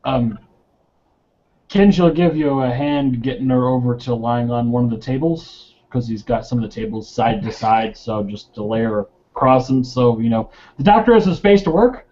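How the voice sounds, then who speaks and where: A man's voice: medium pitch, moderate pace, warm tone. A man casually describing his character's actions as a player in a tabletop game. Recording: dull lo-fi audio.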